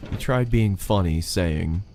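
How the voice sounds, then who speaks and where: A man's voice: sits low, slow pace, over music. A man reads a scripted first-person horror story as its narrator.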